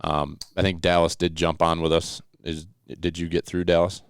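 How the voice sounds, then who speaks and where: A man's voice: sits low, little pitch movement, moderate pace. A man hosting a casual outdoors podcast with live calls, talking in a rambling, joking, jovial way.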